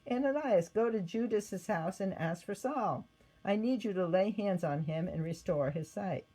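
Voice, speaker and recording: A woman's voice: mid-range voice, normal speed, dark tone, husky, soft background music, lightly acted, poor audio. A woman voicing a solemn, firm divine male figure speaking from heaven in a storybook reading. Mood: firm, instructing.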